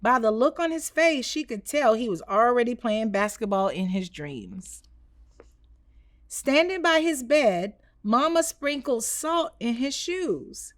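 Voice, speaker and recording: A woman reading a children's storybook aloud in an expressive storytelling style. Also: on the high side, slow pace, no echo, clean studio sound. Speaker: storybook reader.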